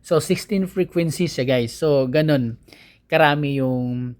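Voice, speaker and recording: A man's voice: fairly high pitch, unhurried, darkish tone. A man with a Filipino accent giving a casual unboxing and review, informally explaining things step by step to his viewers. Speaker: vlogger, product reviewer.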